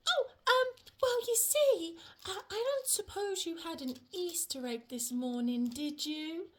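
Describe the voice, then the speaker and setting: A woman voicing an excitable, kindly Easter rabbit, giggly and gushing, in playtime storytelling for young children. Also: extremely high pitch, measured pace, pitched below the performer's own voice. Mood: friendly.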